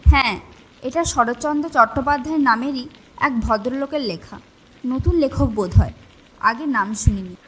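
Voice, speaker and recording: A woman's voice: sits high, moderate pace, a little hiss or hum, natural voice, low-quality recording. A woman playing a grave, mysterious, bookish lady in an audio horror story, speaking in a serious, formal manner. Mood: matter-of-fact.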